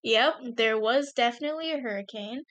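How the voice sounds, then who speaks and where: A woman's voice: fairly high pitch, brisk, fairly bright tone, no echo. A young woman co-hosting a podcast in casual banter, playful and given to mock-dramatic jokes. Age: young adult. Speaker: podcast co-creator and host. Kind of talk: casual banter and thank-you message.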